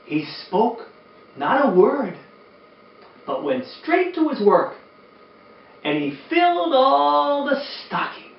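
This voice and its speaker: An older man hosting a children's storytime, speaking expressively to young listeners with drawn-out emphasis. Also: very high-pitched, sing-song, creaky. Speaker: children's storytime host and reader, former teacher. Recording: roomy, distant, dull sound with faint noise.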